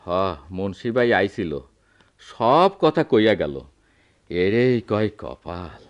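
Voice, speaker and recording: A resigned old village father in a radio play. He talks slowly in a rural Bangladeshi dialect. His pitch rises and falls widely as he laments in a plain, folksy way. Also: very animated, dull audio. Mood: rueful, resigned. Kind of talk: radio drama acting.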